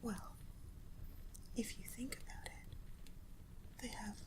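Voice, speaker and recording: A woman's voice: on the high side, fairly even intonation, slow pace, poor audio. A woman who makes ASMR videos, whispering her thoughts on a fairy tale and speaking straight to the listener. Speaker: ASMR creator. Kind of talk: whispered ASMR story commentary.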